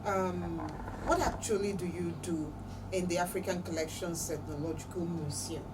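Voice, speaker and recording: A woman's voice: fairly low pitch, fairly even intonation, normal speed. A woman with a Nigerian accent hosting an interview and asking direct, probing questions. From Nigeria, grew up Igbo. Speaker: interview host. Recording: distant mic, loud vehicle and ambient noise.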